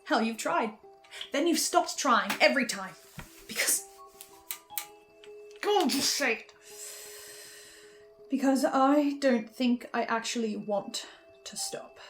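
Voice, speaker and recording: A woman playing a tormented, hungry archivist in a halting, theatrical horror monologue addressed straight to the listener. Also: a little high, fairly bright tone, faint music behind. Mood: brooding, confessional. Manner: theatrical, halting, swinging from whispers to outbursts. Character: tormented, obsessive, hungry archivist confessing to the audience. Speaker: host of a horror listen-along series. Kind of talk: dramatic in-character monologue to the listener.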